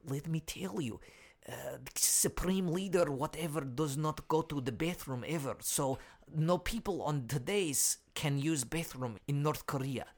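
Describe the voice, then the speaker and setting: A husky, creaky Ukrainian foreign correspondent for a comedy news show. He is nervous and hapless, reporting in hushed, broken English with a thick Ukrainian accent and repeating himself as if afraid of being overheard. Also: on the high side, unhurried. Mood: flustered. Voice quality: hushed, breathy, gravelly. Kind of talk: comic fake news field report.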